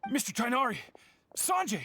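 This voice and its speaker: A woman voicing a flustered young assistant in a video game, husky and halting with panic. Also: fairly high pitch, big pitch swings, sharp tone, slight background noise. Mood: panicked. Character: flustered young assistant rushing with bad news. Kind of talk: anime-style video game dialogue.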